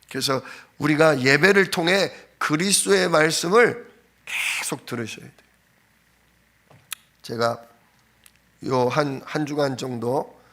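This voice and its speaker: A man preaching in a conversational style, telling a personal story informally, slightly hoarse from a cold. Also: mid-range voice, fairly bright tone. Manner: anecdotal, informal storytelling with frequent fillers. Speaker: pastor. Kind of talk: conversational sermon. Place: church sanctuary.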